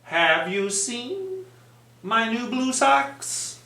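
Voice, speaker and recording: An earnest, fretful storybook duck, as put on by a storytime reader, speaking in slow singsong rhymes. The voice is bright and swoops in pitch, in a roomy space. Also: sing-song, creaky, heavily acted. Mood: puzzled, searching. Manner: slow, singsong rhyming speech. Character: earnest, fretful duck searching for lost socks. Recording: roomy, echoing sound.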